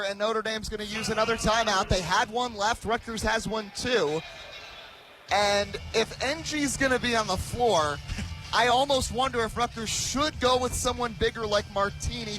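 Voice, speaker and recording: High-pitched young man calling basketball. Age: 20s. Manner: excitable, dramatic calls that rise into shouting. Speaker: play-by-play commentator. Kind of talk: live radio basketball commentary. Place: basketball arena.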